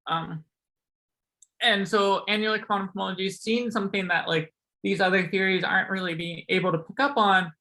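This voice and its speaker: Bright-voiced young man with a sore voice. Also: high voice, steady tone, fairly quick. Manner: casual, many ums and likes, self-correcting. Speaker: seminar speaker. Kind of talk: academic math talk.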